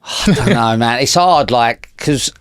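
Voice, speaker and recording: A man's voice: mid-range voice, unhurried, smooth, dry recording, clean studio sound. A man, a musician guest rambling casually and swearing as he tells stories. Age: 30s. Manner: laid-back, swearing, rambling. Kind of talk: casual interview chat. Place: pub.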